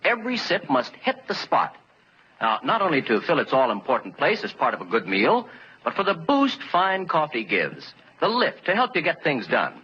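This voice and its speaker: An American man delivering an emphatic sales pitch as a 1940s radio commercial announcer. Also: huge pitch swings, normal speed. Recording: dull, faintly noisy 1940s radio recording.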